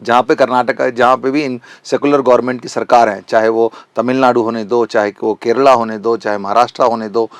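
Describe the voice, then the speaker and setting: A man's voice: medium pitch, fairly even intonation, brisk, few pauses, clear, resonant tone, dry recording. A man speaking as an advocate in an interview, insistent and repeating phrases for stress. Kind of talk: impassioned opinion interview.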